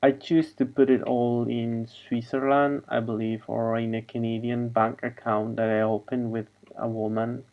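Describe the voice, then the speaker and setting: A man whose first language is Spanish, talking in a halting, wandering monologue over a video call. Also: mid-range voice, level tone, muffled recording. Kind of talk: rambling monologue.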